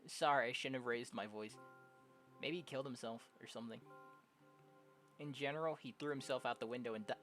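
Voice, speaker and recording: A young adult game streamer casually reading visual novel dialogue aloud, jokey and prone to loud exclamations. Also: medium pitch, fairly quick, pauses often. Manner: animated, jokey, exclaims loudly. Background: game music.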